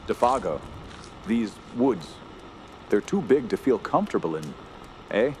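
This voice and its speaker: An actor playing an earnest, fearful gentleman camper who tells a horror tale in solemn, literary radio drama style. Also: fairly low pitch, big pitch swings, unhurried, a little hiss or hum. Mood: concerned. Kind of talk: radio drama narration and dialogue.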